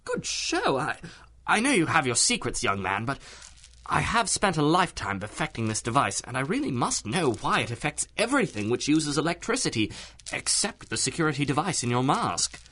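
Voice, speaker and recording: Fretful, bright-voiced old inventor gentleman. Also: on the high side, huge pitch swings, normal speed, exaggerated voice. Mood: fussy, insistent. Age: older. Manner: genteel, hesitant, politely insistent. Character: polite, fretful, inquisitive older inventor, an old-fashioned gentleman. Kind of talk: radio drama acting.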